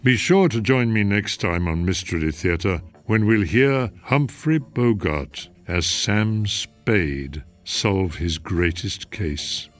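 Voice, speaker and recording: A male show host with a very low voice and a slow, deliberate pace. He presents scripted introductions in a measured way over faint music. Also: animated, dry recording. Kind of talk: scripted presentation of classic radio.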